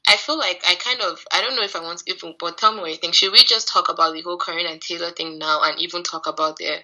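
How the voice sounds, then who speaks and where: A woman co-hosts a casual recap podcast. Her voice sits on the low side, husky yet strikingly bright and forward. She sounds a bit tired and sleepy as she chats. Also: fairly even intonation, quick pace, dull audio. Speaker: podcast co-host. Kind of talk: casual chat recapping TV show.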